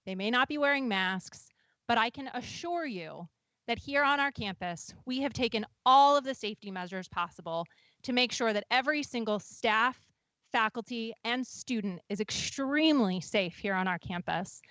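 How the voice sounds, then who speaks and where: A woman's voice: fairly high pitch, lively intonation, normal speed, a bit bright, dry recording. Upbeat woman admissions director. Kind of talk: welcoming presentation.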